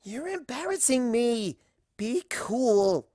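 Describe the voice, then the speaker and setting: A woman's voice: a little high, very animated, slow, dark tone, no echo, clean studio sound, voice pushed down, heavily acted. A woman voicing an embarrassed, sulky teenage pony daughter in a fan fiction reading, whiny and exasperated, drawing out her words.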